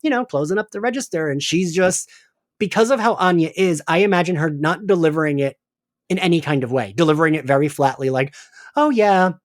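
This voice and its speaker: A man's voice: a little high, dry recording, studio quality. A man co-hosting a podcast in casual chat, speaking emphatically with some swearing and restarted sentences. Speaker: podcast host. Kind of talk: casual chat, recapping an audio drama.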